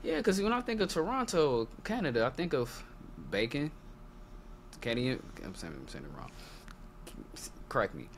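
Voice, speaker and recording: A man hosts a casual interview over faint background noise. His voice is of average pitch, and he phrases questions informally, in halting pieces. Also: very animated. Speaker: podcast host.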